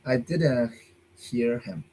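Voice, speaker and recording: A man's voice: medium pitch, expressive, warm tone, rough recording. Hesitant man, beginner English. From China. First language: Chinese. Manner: halting beginner English, hesitant, restarts and broken grammar. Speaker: English learner. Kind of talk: halting beginner conversation in a lesson. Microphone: video call.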